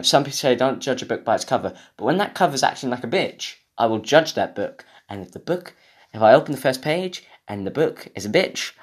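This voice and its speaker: The young British man you would hear on a homemade solo podcast, swearing and rambling in mock outrage at an everyday pitch. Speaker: podcast host. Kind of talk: casual comedic rant.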